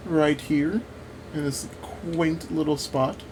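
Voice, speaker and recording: A man's voice: a little high, level tone, slow, noisy background. A man giving casual gameplay commentary, rambling and thinking aloud as he plays. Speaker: gaming video host.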